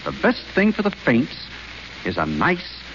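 An actor playing a calm, dry-witted gentleman butler in 1930s radio acting, gentle and patient, on a muffled old radio broadcast. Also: mid-range voice, measured pace, slight background noise. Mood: coaxing.

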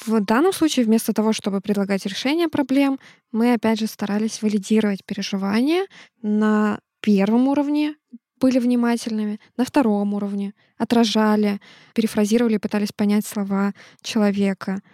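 A woman co-hosting a podcast, calmly explaining a topic and acting out short examples. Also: medium pitch, brisk, no echo, studio quality. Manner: calm, explanatory. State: sick, sore throat. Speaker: podcast co-host.

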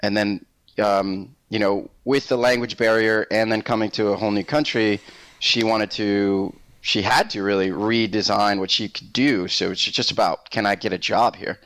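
A man from Puerto Rico, a native Spanish speaker, telling his personal story as an interview guest in a reflective, measured, conversational way. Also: lowish voice, steady tone, fairly bright tone, no echo, low-quality recording. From Puerto Rico, later Massachusetts.

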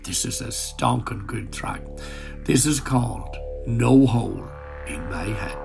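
A man hosting a radio music show, chatting casually between tracks, his voice croaky as he gets over an illness. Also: mid-range voice, expressive, a little slow. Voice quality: gravelly, husky. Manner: chatty, rambling with fillers.

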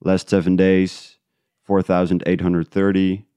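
A man from the Netherlands, talking casually in an interview, halting as he thinks aloud. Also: deep voice, level tone, mellow, clear, resonant tone, no echo, studio quality. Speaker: guest, independent podcast creator.

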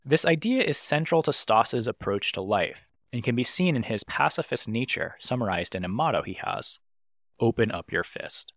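A man's voice: mid-range voice, normal speed, no echo, clean studio sound. A man hosting a podcast, reading a scripted intro and then chatting playfully with his guest. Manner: animated, playful delivery. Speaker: podcast host.

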